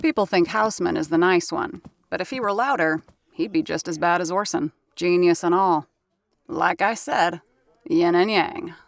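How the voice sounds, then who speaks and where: A worldly, wry theater staffer, voiced by a woman in an audio drama. Her voice sits lower than most women's and is delivered with cool sarcasm. The sound is close and dry, with no room behind it. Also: normal speed, gravelly, no echo. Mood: wryly amused.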